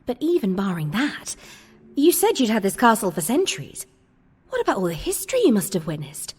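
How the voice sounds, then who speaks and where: A woman's voice: medium pitch, sing-song, brisk, darkish tone, breathy edge. A woman playing an earnest, incredulous new scheduling worker in a comedic audio drama, questioning emphatically. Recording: long reverberant hall sound.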